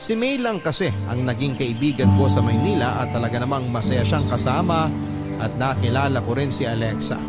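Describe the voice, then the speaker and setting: A man with a Filipino accent narrates a letter as a radio drama host. His voice sits at a medium pitch and follows a script. Loud music plays under the narration. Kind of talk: scripted first-person story narration. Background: loud background music.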